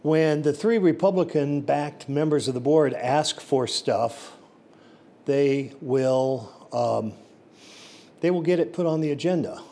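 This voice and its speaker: A man's voice: on the high side, takes it slowly, warm tone. An older man gives blunt, lecturing public comment at a microphone in a large board meeting room, now and then stumbling over words. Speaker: election precinct chief giving public comment. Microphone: seated at a desk mic.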